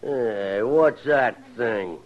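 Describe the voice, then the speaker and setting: An actor playing a brusque, bullying saloon card dealer with a rustic American accent in an old-time radio drama. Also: male voice, medium pitch, extremely slow, slight background noise. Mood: impatient. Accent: American, rustic Western. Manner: brusque, slangy, bossy. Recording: muffled vintage radio recording.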